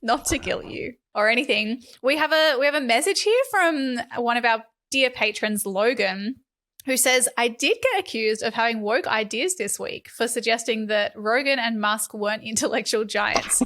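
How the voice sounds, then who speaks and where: A young Australian woman co-hosting a podcast, chatting quickly and sarcastically and laughing often, into a desk mic at home. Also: a little high, a bit bright. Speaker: podcast co-host. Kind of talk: casual political chat. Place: small room at home. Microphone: large studio mic on desk.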